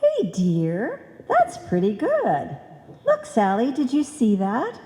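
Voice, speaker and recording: A woman's voice: a little high, huge pitch swings, takes it slowly, warm tone, heavily acted. A woman playing a scatterbrained, trusting wife in an old-time radio comedy, earnest and muddled. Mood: eager. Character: scatterbrained, trusting, chatty wife and card seller. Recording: echoey live hall.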